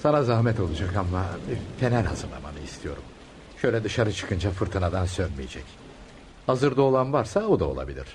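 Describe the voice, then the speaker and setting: A man playing a serene, pious dervish master in Turkish radio theatre, solemn, measured and gently commanding. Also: deep voice, very animated. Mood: calm authority. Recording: noisy radio drama recording.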